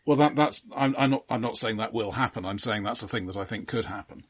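A man co-hosting a podcast in casual film discussion, often starting haltingly and repeating his words. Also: on the low side, fairly bright tone, breathy edge, no room sound. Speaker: podcast co-host.

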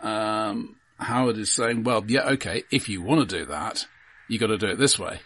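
A man co-hosting a podcast, discussing film casually and analytically in halting, self-correcting phrases. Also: fairly low pitch, fairly bright tone, dry recording.

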